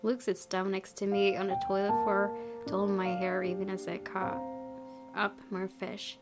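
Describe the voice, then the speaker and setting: A woman's voice: medium pitch, completely flat delivery, normal speed. A young adult woman hosting a let's-play, reading visual novel narration expressively with informal, casual reactions. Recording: voice over prominent game music.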